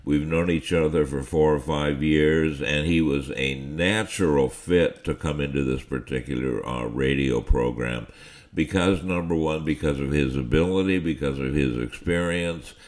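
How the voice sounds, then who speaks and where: Very deep-voiced male radio host. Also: moderate pace. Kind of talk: radio talk show.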